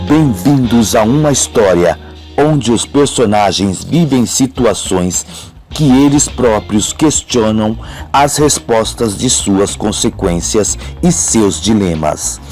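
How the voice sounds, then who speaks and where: A man's voice: fairly low pitch, darkish tone. A man with a Brazilian accent delivering a scripted dramatic introduction as a radio soap announcer.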